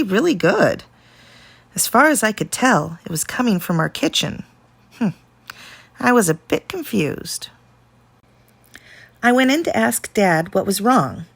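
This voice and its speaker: A woman reading a children's story aloud expressively, with deliberate pauses and dramatic emphasis. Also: medium pitch, big pitch swings, gravelly, husky, no room sound.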